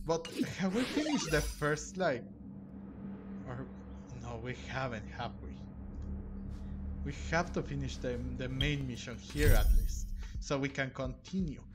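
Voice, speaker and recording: A man comments casually on the game he is playing. His voice sits at an average pitch, and he thinks aloud as he goes. Game music is clearly heard behind him.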